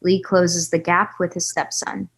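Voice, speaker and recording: A woman reading screenplay stage directions at a table read in an even, measured way. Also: on the low side, a little hiss or hum.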